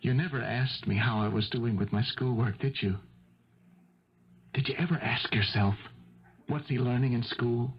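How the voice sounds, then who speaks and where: An actor playing a desperate young former football star, pleading emotionally in a husky voice in a 1970s radio drama. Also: male voice, mid-range voice, moderate pace, pauses often. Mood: defensive, bitter. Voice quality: husky, breathy. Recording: dull lo-fi 1970s radio recording.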